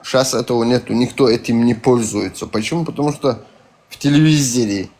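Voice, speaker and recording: A man's voice: medium pitch, brisk, a little mellow. A man with a Caucasian accent, calling in to a live voice chat, talking casually and rambling with many hesitations and restarts. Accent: Caucasian-accented Russian. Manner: rambling, hesitant, fillers and self-corrections. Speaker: caller on a live stream.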